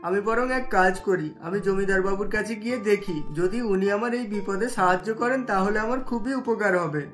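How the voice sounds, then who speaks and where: A voice actor plays a poor cartoon husband who deals in scrap, at a mid pitch, worried and earnest. He pleads and frets, and soft music plays underneath. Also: normal speed, few pauses, lightly acted. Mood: anxious. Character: poor, desperate middle-aged scrap dealer husband.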